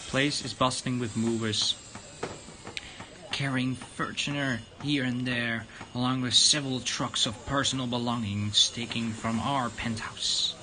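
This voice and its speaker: A man streaming a visual novel and reading its narration aloud, a bit halting. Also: mid-range voice, fairly even intonation, background noise. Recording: guitar music behind the voice.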